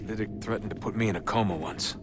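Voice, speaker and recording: An actor playing a guarded young hero in a video game, speaking in brief, understated conversational lines. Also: male voice, lowish voice, fast, music in the background, lightly acted. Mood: subdued, questioning.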